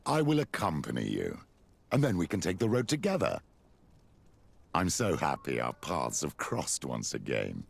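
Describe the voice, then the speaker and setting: An older bishop in a fantasy game, courtly and unctuous. The voice is extremely deep with a creaky grain. His theatrical intonation rises and falls widely. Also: huge pitch swings, moderate pace, full character voice. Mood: flattering, courtly. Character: older male bishop, flattering, smooth schemer.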